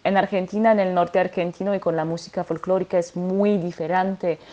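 A woman's voice: lowish voice, steady tone, brisk, dark tone, lo-fi sound. A French woman singer speaking Spanish with a French accent, answering interview questions with many hesitations and restarts. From France.

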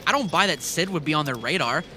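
A man's voice: a little high, lively intonation, fast, sharp tone. A man playing an earnest coworker turned amateur sleuth in a naturalistic audio drama, speaking casually. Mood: earnest, puzzled.

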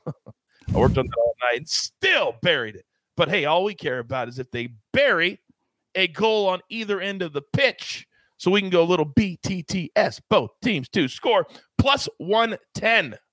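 Male betting-show host, high-energy and showy, crisp bright tone, dead-dry studio sound. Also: lively intonation, normal speed, dry recording. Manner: hyped, showy, playful swings in pitch. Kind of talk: sports betting show hosting.

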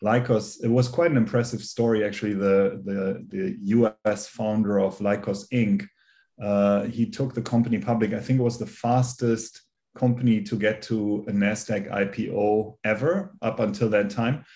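A man in his forties with a German accent, talking as a guest on a video call, measured and full of fillers and restarts. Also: fairly low pitch, fairly even intonation, dark tone. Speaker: guest, company founder. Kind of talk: conversational interview.

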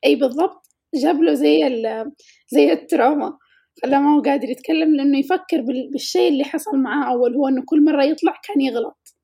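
A woman's voice: sits high, quick pace, creaky, warm tone. A woman co-hosting a casual podcast, with a childlike-sounding voice and a thoughtful, reflective way of talking. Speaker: podcast co-host.

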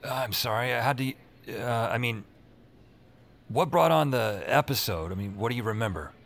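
An actor playing a wry, beleaguered emergency call operator who narrates his own story in a deadpan way in an audio drama. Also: mid-range voice, moderate pace, creaky. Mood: flustered. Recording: music behind the voice. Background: music.

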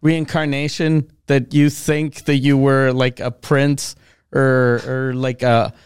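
A man co-hosting a crude comedy podcast, throwing in quick deadpan wisecracks and teasing the others. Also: medium pitch, clean tone, dry recording, studio quality. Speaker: podcast co-host.

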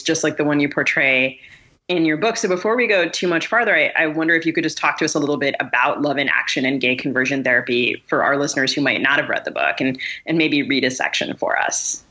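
A woman's voice: sits low, pushed and bright. A woman hosting a podcast interview in a conversational style, on a slightly dull recording. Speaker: podcast host.

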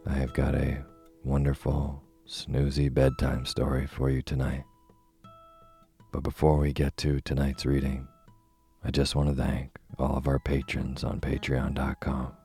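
A man hosting a bedtime podcast, talking slowly and soothingly while losing his voice. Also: a bass voice, completely flat delivery, creaky, mellow, soft background music. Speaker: podcast host reading bedtime stories. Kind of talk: slow soothing bedtime talk.

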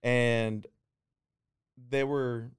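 A man's voice: medium pitch, level tone, drawn-out words, smooth. A man in his thirties, a guest singer and DJ, talking casually in an interview with many restarts and fillers. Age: 30s.

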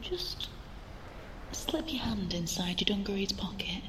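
An actress playing a sly, bossy, mocking inner voice in an audio drama, teasing and commanding, with an echoing effect. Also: female voice, medium pitch, lively intonation, unhurried, background noise. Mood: sly, coaxing. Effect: echoing inner-voice reverb. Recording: long reverb on the voice.

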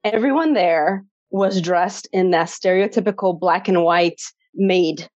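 A woman's voice: on the low side, measured pace, a little mellow, dry recording, highs cut off. Chatty woman with a Chicago accent. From Chicago, USA. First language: Polish. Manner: chatty, laughs often. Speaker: actress, podcast guest. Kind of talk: casual interview chat.

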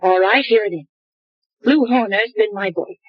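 An actress playing a sharp, guarded young woman in 1940s radio drama style, on an old dry radio recording. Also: medium pitch, moderate pace, husky, no room sound, dull audio, close to an everyday voice. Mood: tense.

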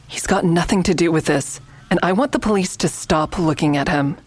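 A low-pitched woman in a naturalistic audio drama. She is earnest but guarded, choosing her words with measured care and keeping some back. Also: darkish tone, breathy edge. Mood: guarded, insistent. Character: guarded, earnest woman negotiating with police, also narrating.

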